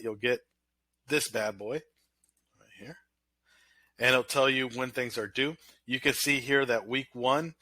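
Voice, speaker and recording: A man's voice: mid-range voice, pushed and bright, dry recording. A man, a college instructor, talks through an online course in a casual, rambling way with many fillers. His voice is nasal from an illness. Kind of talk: recorded online course walkthrough.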